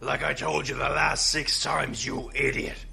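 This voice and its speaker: A man playing a gruff, sarcastic veteran gunnery crewman in an audio drama. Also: a little high, little pitch movement, unhurried, sharp tone, slight background noise, exaggerated voice. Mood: scornful, bored. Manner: gruff, scornful, dry.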